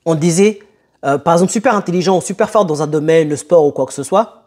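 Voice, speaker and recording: A young man who grew up in France telling a personal story to camera in a conversational, emphatic way with dramatic pauses. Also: a little high, darkish tone. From born in Italy, moved to France as a child. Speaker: motivational video host.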